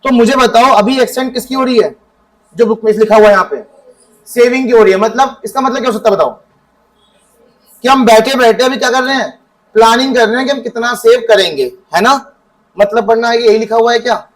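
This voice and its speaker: Male teacher, high voice, quizzes the class and answers himself. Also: low-quality recording. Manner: asks students questions and answers them himself; reads definitions from the book slowly. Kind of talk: classroom economics lesson.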